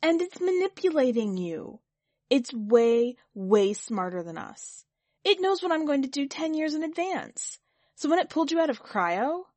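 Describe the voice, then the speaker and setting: A woman plays an anxious, stubborn story character, pitched high. The words come out as blurted, emphatic protests, recorded dry and close. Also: very animated, measured pace, dry recording, full character voice. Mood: agitated pleading.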